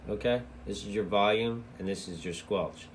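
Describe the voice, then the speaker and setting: A man's voice: lowish voice, normal speed, a little hiss or hum. A man giving a casual, loosely explained product walkthrough as a radio hobbyist reviewer, in an informal, rambling way.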